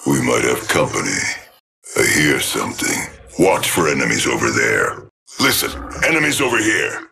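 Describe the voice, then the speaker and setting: A sinister killer robot in a shooter game, extremely deep and forceful. The harsh voice swings widely in pitch as it barks menacing callouts. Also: male voice, creaky, slightly rough, exaggerated voice. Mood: agitated. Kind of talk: video game voice lines.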